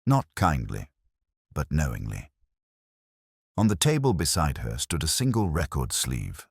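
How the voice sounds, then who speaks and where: A very low-pitched, world-weary older male detective as acted by a man narrates his case like a literary crime drama. The delivery is solemn and measured, yet the melody rises and falls a good deal. The sound is dry and close. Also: huge pitch swings, vocal fry, husky, no echo, exaggerated voice. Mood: somber, quiet. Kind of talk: scripted first-person detective story narration.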